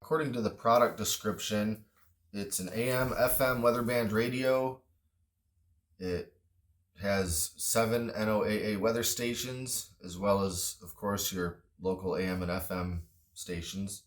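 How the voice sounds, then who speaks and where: A man with a low-pitched voice reviews a product off the cuff. He is matter-of-fact and a little tentative, with an understated delivery. It sounds like casual, unscripted talk. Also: steady tone, a little slow.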